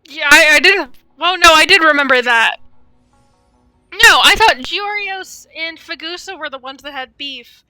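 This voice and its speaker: A woman with a high, strikingly bright voice commentates casually on a game stream. She rambles and thinks aloud, often tentative, while game music plays faintly behind her. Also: animated, unhurried, no room sound. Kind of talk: casual livestream gameplay commentary.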